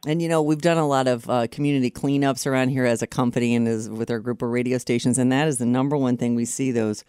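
A woman's voice: extremely low pitch, fairly even intonation, quick pace, warm tone, clear, resonant tone, no echo, studio quality. A woman hosting a radio interview in a conversational broadcast style. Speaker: radio show host.

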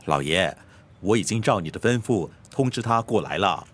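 A man's voice: low-pitched, animated, normal speed. A man playing an anxious, deferential servant in a radio drama. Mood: obsequious, worried. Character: deferential, anxious male servant fussing over his master.

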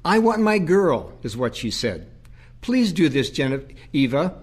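Man playing an earnest, burdened priest, higher voice, reverberant. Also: big pitch swings, measured pace, breathy edge, heavily acted. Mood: pleading. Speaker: actor in an audio play. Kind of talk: dramatic audio play acting.

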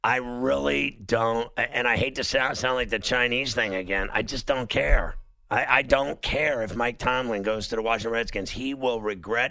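A man's voice: medium pitch, bright, forceful tone, clear, resonant tone, no room sound. A man hosting a sports talk radio show, blunt and opinionated, rambling through fillers as he takes calls.

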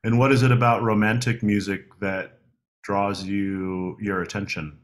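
A man hosting a podcast interview, asking questions in a relaxed, hesitant way with many fillers and restarts. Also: lowish voice, a little slow. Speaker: podcast host. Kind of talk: conversational interview.

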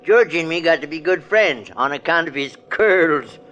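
An actor playing a folksy, doting old elevator operator in a 1940s radio drama. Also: male voice, fairly high pitch, expressive, moderate pace, fairly bright tone. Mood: chatty. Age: older. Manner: chatty, homespun, fond. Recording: dull 1940s radio broadcast.

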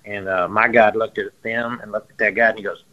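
A man, a guest on a radio show, telling stories in an animated way and often repeating himself. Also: on the low side, a little fast, lo-fi sound. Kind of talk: storytelling in casual chat.

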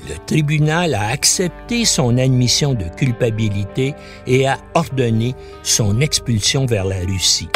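A man's voice: medium pitch, unhurried, hardly any pauses, soft background music. A man narrating a scripted documentary as a podcast host, in a dramatic storytelling manner.

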